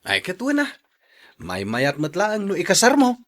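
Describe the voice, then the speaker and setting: A man's voice: high-pitched, huge pitch swings, unhurried, fairly bright tone, full character voice. A man with an Ilocano accent playing the doubtful young buddy of a suitor in a radio drama. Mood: probing.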